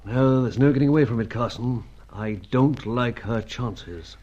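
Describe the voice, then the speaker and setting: Male actor as avuncular older spymaster, old radio drama: mid-pitched, measured, grave, paternal; muffled lo-fi recording. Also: darkish tone, close to an everyday voice. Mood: grave, hushed. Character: grave, avuncular older British knighted spy chief. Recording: dull, lo-fi radio serial sound.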